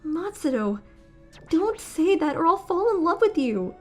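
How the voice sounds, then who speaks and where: A woman acts a cute teenage girl team manager in a manga dub, with a high, youthful voice. She is teasing yet caring, over a music bed. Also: very animated, unhurried, full character voice. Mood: smitten.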